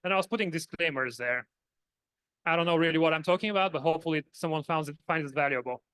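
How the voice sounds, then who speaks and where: A smooth-voiced man with a Bulgarian accent speaks as a conference panelist. His voice sits a little high, and he answers in an earnest, modest, conversational way. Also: fast, fairly bright tone, no echo, low-quality recording. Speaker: panelist, software engineer.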